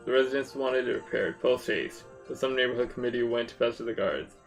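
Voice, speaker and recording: A man's voice: medium pitch, fairly quick, creaky, faint music behind, natural voice. A man reading game dialogue aloud as a wry, chatty quest-giver from a fantasy town.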